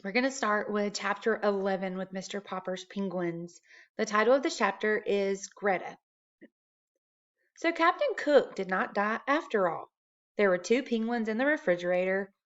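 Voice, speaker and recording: Lady reading a kids chapter book out loud, normal pitch, kinda muffled recording. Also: normal speed. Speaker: storybook reader. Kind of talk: children's read-aloud narration.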